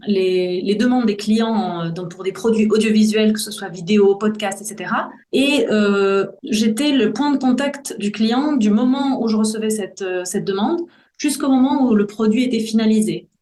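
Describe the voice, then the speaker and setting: A woman's voice: mid-range voice, moderate pace, a little mellow. Forties woman, podcast guest, thoughtful and sincere, recorded in a reverberant room. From Belgium. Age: 40s. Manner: earnest, reflective, searching for words. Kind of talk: personal testimony in a podcast interview. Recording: roomy echo.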